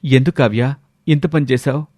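A man's voice: mid-range voice, fairly even intonation, normal speed, warm tone, natural voice. A man voicing a devoted, distressed young husband who speaks earnestly, in a narrated story. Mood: pleading.

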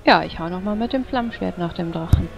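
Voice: medium pitch, quick pace, gravelly. A person chatting casually as a player in a tabletop role-playing game. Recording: dull lo-fi voice chat, faint music.

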